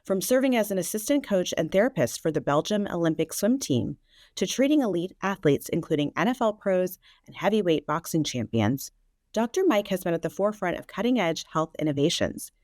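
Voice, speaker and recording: A woman's voice: fairly low pitch, very animated, brisk, darkish tone, no room sound, clean studio sound. A woman hosting a podcast, introducing her guest enthusiastically and asking interview questions. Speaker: podcast host.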